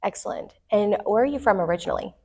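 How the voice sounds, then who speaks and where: A woman's voice: mid-range voice, expressive, fast talker, darkish tone. A middle-aged woman hosting a podcast interview in an office, chatty and enthusiastic. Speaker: podcast host. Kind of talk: casual interview chat.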